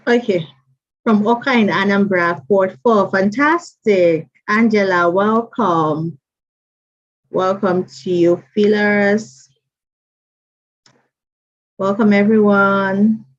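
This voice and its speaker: A woman's voice: medium pitch, slow pace, pauses often. A woman hosting an online mentorship session, greeting attendees by name and repeating welcomes. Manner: chatty, repeats greetings, reads names aloud. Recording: dull lo-fi stream audio.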